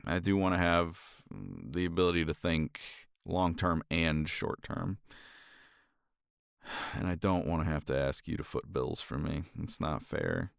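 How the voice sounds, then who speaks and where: Casting: deep, gravelly-voiced man, tender partner roleplay, lively intonation. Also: unhurried, no echo, clean studio sound. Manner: tender, reassuring partner talk to a listener. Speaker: ASMR roleplay performer. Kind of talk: romantic ASMR roleplay, intimate soft talk.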